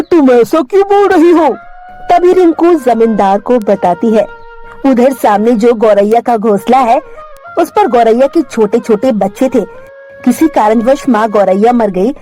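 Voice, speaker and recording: A woman reads a children's tale in scripted storytelling style. Her voice is fairly high and soft-edged, mellow throughout, with music playing underneath. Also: animated, measured pace.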